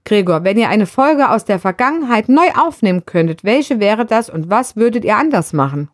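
A woman's voice: mid-range voice, animated, moderate pace, no room sound, clean studio sound. A woman reading out scripted questions to podcast hosts. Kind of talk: scripted questions read aloud.

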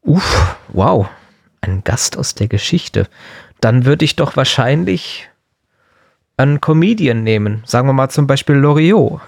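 A man's voice: mid-range voice, expressive, measured pace, vocal fry, a little mellow, dry recording, clean studio sound. A man co-hosting a podcast in casual, joking chat. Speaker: podcast co-host.

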